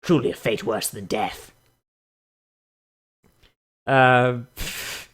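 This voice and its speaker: A man's voice: medium pitch, drawn-out words, a bit bright. A man giving casual comedic commentary and mock dramatic readings in a sarcastic, theatrical, foul-mouthed way. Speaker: YouTube host.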